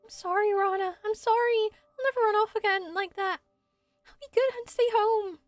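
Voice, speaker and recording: A woman's voice: very high voice, moderate pace, no echo, faint music behind, pitched above the performer's own voice. A woman voicing a timid, earnest little village child from a game, hesitant and pleading. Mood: apologetic. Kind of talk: fan voice-over of game dialogue.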